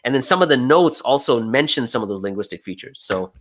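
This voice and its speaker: A man's voice: mid-range voice, animated, a little fast, a bit bright. A young American man talking casually on a live stream, chatty and laughing often, full of "you know" and "right". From United States. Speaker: guest speaker.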